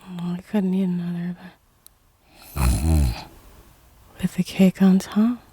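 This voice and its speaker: A woman's voice: on the low side, level tone, slow pace, mellow, slightly rough, exaggerated voice. A woman acting in an audio drama as a snarky, grumpy prisoner with a petulant, flippant manner. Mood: dreamy.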